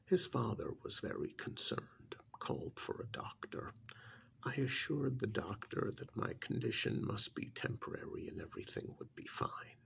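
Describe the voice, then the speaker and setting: A man playing an arrogant, contemptuous ancient alien in an ASMR roleplay, speaking in a gravelly, breathy half-whisper with deliberate, condescending pauses. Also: mid-range voice, very animated, a bit bright, full character voice. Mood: sly, self-satisfied. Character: arrogant ancient alien stranded in a human body, contemptuous and menacing.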